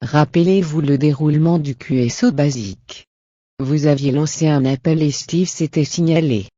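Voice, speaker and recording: A man narrates an instructional lesson from a script. His voice is mellow and a little high for a man, with an encouraging, teacherly manner. The recording is very dry and slightly dull. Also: normal speed, no room sound. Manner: encouraging, didactic. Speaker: language lesson presenter.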